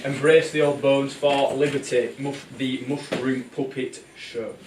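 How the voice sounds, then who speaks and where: A man's voice: medium pitch, flat delivery, a little slow. A man narrating a live puppet show in a comic, theatrical style, playful and exclamatory. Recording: very noisy, roomy, distant live bootleg.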